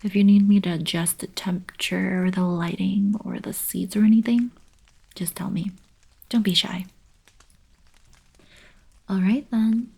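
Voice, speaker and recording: A young woman playing a friendly, eager alien princess in an ASMR roleplay, soft and whispery, with casual girlish coaxing. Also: medium pitch, steady tone, a little slow, creaky, long pauses, breathy edge. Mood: gently concerned. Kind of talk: ASMR roleplay, intimate acted monologue.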